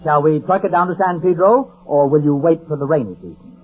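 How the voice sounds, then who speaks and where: A man's voice: fairly high pitch, brisk, heavy background noise, natural voice. A man playing a polite, skeptical yacht salesman in 1940s radio comedy, formal and deferential. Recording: narrow-band old 1940s radio broadcast.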